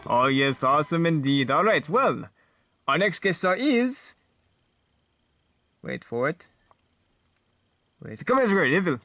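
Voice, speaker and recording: A man voicing a fussy, bumbling, eager talk show host who speaks haltingly and repeats himself. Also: a little high, very animated, bright, forceful tone, no room sound, studio quality, heavily acted.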